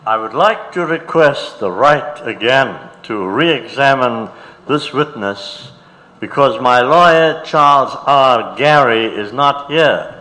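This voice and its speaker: Defiant courtroom defendant male actor, deliberate and forceful, big reverberant hall. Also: mid-range voice, lively intonation. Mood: defiant, insistent. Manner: insistent, deliberate. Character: defiant male defendant demanding his rights. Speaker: reader in staged documentary. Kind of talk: dramatic reading of court transcript. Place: large auditorium. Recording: reverberant auditorium.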